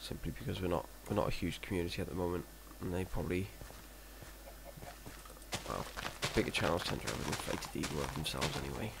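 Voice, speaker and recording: A deep-voiced man talks over his gameplay in a flat monotone, with a gravelly rasp at the ends of his words. He wanders off track and puts himself down as he goes, and a steady hiss of noise sits under the recording. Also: a little fast. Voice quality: monotone, self-described. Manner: rambling, hesitant, self-deprecating. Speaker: Minecraft let's player. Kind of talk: casual gameplay commentary. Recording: noisy home recording.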